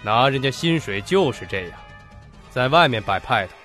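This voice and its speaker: An actor playing a curt, commanding, crooked company president with a sharp tongue in an audio drama. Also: male voice, medium pitch, lively intonation, normal speed, faint music behind, natural voice. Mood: scornful. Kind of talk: Chinese audio drama acting.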